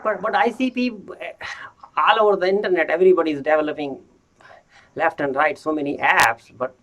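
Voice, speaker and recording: Male interviewer, slightly high pitch, muffled lo-fi sound. Casual, halting delivery with repeated words. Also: fairly bright tone. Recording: dull lo-fi audio.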